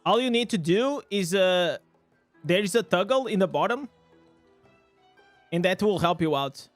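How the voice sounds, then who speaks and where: A man with a high voice for a man streams a game live, narrating his play in English. He bursts into excited reactions over loot and chats casually with his viewers. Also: unhurried, no echo, soft background music. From Portugal. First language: Portuguese. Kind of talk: live gameplay commentary.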